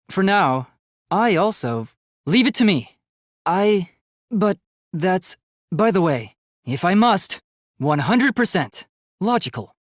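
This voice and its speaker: An earnest young robot boy from an anime video game, with a high voice and wide, lively swings in pitch. He speaks formally and precisely, in an unhurried way, polite but easily offended and emphatic. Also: no echo, studio quality, exaggerated voice. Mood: polite, composed. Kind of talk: dramatic anime game voice lines.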